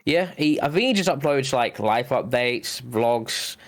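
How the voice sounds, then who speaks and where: A man's voice: mid-range voice, expressive, a little slow. Chatty young man from the UK. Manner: chatty, nostalgic, swears, acts out his own reactions. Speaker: podcast co-host. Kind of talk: casual chat.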